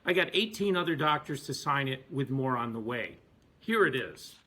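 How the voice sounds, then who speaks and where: A man delivering a prepared advocacy speech as a physician, emphatic and deliberate with pauses. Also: mid-range voice, big pitch swings, pushed and bright. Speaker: physician and activist.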